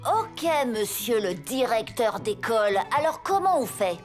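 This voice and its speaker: A woman voicing a cheeky, dramatic eleven-year-old boy in an animated cartoon. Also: on the high side, unhurried, faint music behind. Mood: indignant. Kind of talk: French animated cartoon dubbing.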